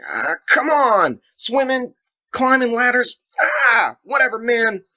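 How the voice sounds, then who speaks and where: Man as hot-headed male sailor, exasperated, strained, high-pitched, loud, audiobook drama. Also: big pitch swings, takes it slowly, muffled recording, heavily acted. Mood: upset, exasperated. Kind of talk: dramatic audiobook character acting.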